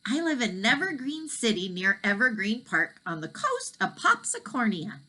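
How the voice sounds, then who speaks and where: A woman's voice: on the high side, big pitch swings, moderate pace, bright, forceful tone, voice pushed up, heavily acted. A woman voicing a curious, eager young boy in a storybook.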